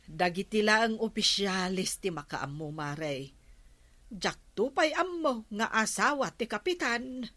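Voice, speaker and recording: Captain's wife, Ilocano radio drama: nosy, sharp-tongued, pointed questions, medium pitch, very dry recording. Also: female voice, lively intonation, measured pace, no room sound. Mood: defensive, scornful.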